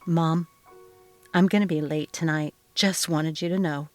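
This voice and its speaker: Story role: dutiful young man woman performing, restrained, sober delivery, lowish voice, music bed. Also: fairly even intonation, measured pace. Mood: matter-of-fact. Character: responsible, earnest young adult son James.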